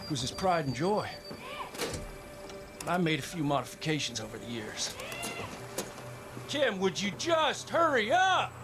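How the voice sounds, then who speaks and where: Folksy American pilot, high voice, very animated, exclamatory, video game cutscene. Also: male voice, measured pace, pauses often, music in the background. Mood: chatty. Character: excitable, foul-mouthed rural pilot, a loyal husband.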